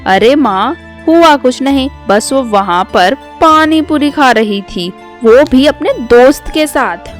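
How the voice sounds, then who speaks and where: A woman's voice: high-pitched, expressive, takes it slowly, few pauses, faint music behind. A woman voicing a sly, gossipy young daughter-in-law who feigns innocence in a storybook tale. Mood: scandalized gossip.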